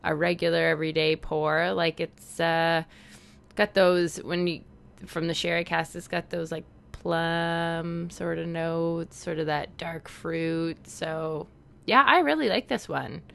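A woman's voice: on the low side, fairly even intonation, takes it slowly, creaky. A Canadian woman co-hosting a podcast, chatting casually with lots of "like" and restarts. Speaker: podcast co-host. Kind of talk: casual chat.

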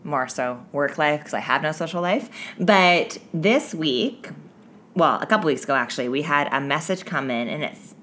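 A woman's voice: deep voice, animated, moderate pace, fairly bright tone, slight background noise. A woman co-hosting a podcast in casual chat, with a teasing, playful way of talking. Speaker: podcast co-host.

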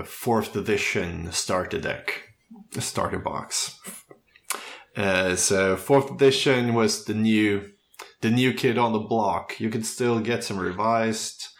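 A man's voice: low voice, gravelly, a bit bright. A man in his thirties with a Swedish accent, a native Swedish speaker, chatting casually and thinking aloud as he talks about himself. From Sweden. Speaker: podcast speaker telling his background. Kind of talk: casual conversation.

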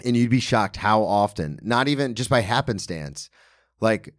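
A man's voice: fairly low pitch, expressive, moderate pace, dry recording, studio quality. A young man hosting a casual comedy podcast, talking loosely with lots of fillers and restarts. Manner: loose, rambling, filler-heavy. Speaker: podcast host. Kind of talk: casual interview chat. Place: small studio at a table.

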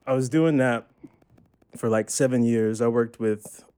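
A young man chatting casually as a podcast guest, talking about his work as an audio engineer in a relaxed, rambling way full of "like". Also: mid-range voice, unhurried, clear, resonant tone. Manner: relaxed, rambling, fills speech with "like". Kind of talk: casual chat.

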